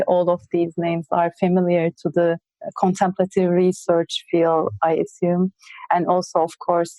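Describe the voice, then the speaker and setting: Turkish-accented woman, mellow and hesitant. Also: on the low side, no echo, poor audio. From Turkey. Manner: hesitant, frequent fillers, light laughter. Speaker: research guest. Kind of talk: interview answers.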